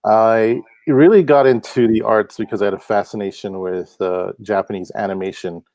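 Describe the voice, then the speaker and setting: Low-voiced relaxed man from Hawaii. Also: normal speed, no room sound. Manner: relaxed, laughs often, many fillers. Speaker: guest, comic book artist. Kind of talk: casual interview chat. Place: home.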